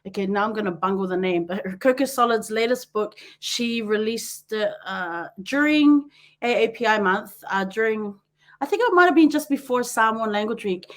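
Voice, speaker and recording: A woman with a New Zealand accent hosting a podcast, chatty and quick to laugh, often wandering off on tangents. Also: on the high side, lively intonation. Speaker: podcast host. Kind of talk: casual chat.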